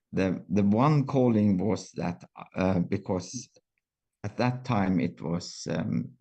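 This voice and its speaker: Slow gravelly older man, halting. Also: low-pitched, darkish tone. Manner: halting, many fillers and restarts. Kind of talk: reflective interview answers.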